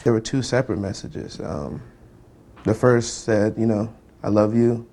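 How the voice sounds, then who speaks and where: Gravelly, mellow man remembering hesitantly. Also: fairly low pitch. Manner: halting, hesitant. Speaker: family member being interviewed. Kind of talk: personal recollection in a media interview.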